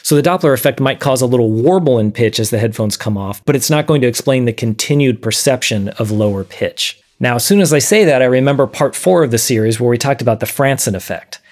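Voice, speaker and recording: A man in his thirties presenting an explanatory video lesson in a measured, methodical way. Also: mid-range voice, a little mellow. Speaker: presenter of an audio science series. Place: small dim room, indoors.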